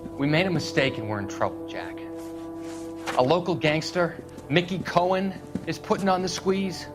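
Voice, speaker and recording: Earnest, higher-voiced young man played by an actor. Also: normal speed, over music, lightly acted. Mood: pleading. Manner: earnest, pleading. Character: young, earnest male medical student, war veteran. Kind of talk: video game acting.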